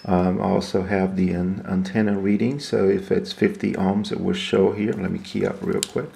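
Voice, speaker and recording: A man giving an unscripted, hands-on equipment walkthrough in a halting, explanatory way. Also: low voice, little pitch movement, gravelly, background noise. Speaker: product demonstrator.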